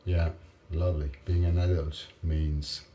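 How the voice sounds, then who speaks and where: A man, tired after a late night, rambling haltingly to the camera in a self-mocking way. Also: flat delivery, dark tone, a little hiss or hum. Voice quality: deep voice. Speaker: vlogger. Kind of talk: casual rambling monologue.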